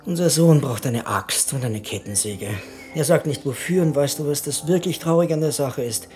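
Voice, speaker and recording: An actor playing a middle-aged psychiatrist husband in a radio drama, dry, wry and resigned in manner. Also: male voice, a little high, moderate pace, warm tone, faint music behind, natural voice. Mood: weary, resigned. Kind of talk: naturalistic radio drama dialogue.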